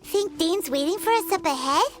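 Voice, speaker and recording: A woman voicing a tiny, excitable, childlike fairy companion with a chirpy, naive manner in a video game. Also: very high voice, normal speed. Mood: curious. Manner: chirpy, naive, speaks of herself in third person. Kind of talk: cartoonish video game dialogue. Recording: studio voice over game music.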